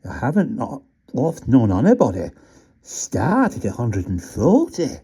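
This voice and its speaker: A man's voice: medium pitch, wildly swooping pitch, a little slow, warm tone, husky, pitched above the performer's own voice, heavily acted. A man voicing a friendly male water rat. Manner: broad dialect, homely.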